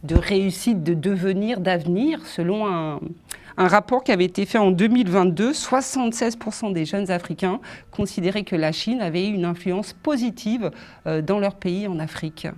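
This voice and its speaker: A woman's voice: mid-range voice, moderate pace, a little mellow. A woman interviewing a guest on television, asking long questions with frequent fillers.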